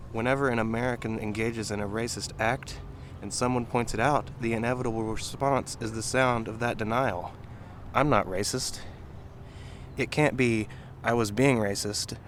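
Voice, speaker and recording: A man reads an essay aloud in measured, deliberate phrases with pauses. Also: mid-range voice, slight background noise. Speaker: reader at a table reading.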